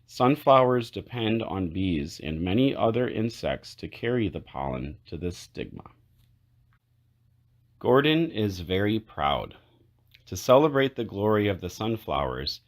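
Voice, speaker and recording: Deep-voiced man reading a storybook aloud. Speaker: children's book read-aloud narrator. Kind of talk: read-aloud storybook narration.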